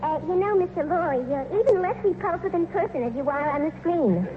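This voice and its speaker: A woman's voice: very high voice, a little fast. An actress playing a blunt, man-hungry tavern owner's daughter in a 1940s radio sitcom, tossing out backhanded insults. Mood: coyly tactless. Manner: tactless, backhanded deadpan insults. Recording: scratchy narrow-band 1940s radio, loud hiss.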